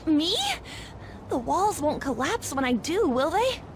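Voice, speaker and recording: Casting: earnest teen girl in a game, high girlish voice, inquisitive, natural casual read. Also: big pitch swings, measured pace, slight background noise, heavily acted. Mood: hesitant. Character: earnest, youthful teenage girl, inquisitive and polite. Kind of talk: natural video game dub acting. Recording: music behind the voice.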